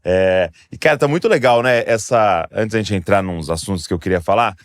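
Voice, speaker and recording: A Brazilian man hosting a faith podcast, talking in a relaxed, rambling way with lots of fillers. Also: fairly low pitch, brisk, no room sound, clean studio sound. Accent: Brazilian Portuguese. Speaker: podcast host. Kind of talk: casual chat.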